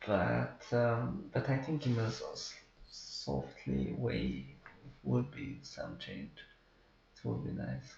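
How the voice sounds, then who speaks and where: Gravelly, halting man. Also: medium pitch, darkish tone. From Hungary. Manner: hesitant, many pauses and restarts. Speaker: artist interviewee. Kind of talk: halting personal account. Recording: roomy, dull-sounding recording.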